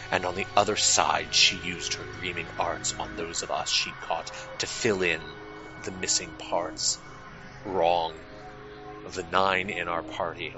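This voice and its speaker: Casting: man as a grave, sorrowful immortal fae noble for audio drama; very low pitch, stately halting delivery, scored with music. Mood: grave, haunted. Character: weary, haunted fae storyteller-lord, mournful and gravely formal. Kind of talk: fantasy audio drama acting.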